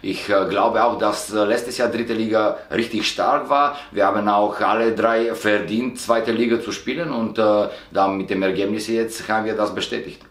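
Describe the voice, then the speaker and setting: Man, 40s, football coach, halting speech, lowish voice. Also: steady tone, fairly bright tone. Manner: halting, many filler sounds. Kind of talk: press interview. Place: indoor stadium media room, sponsor wall. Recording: echoey room.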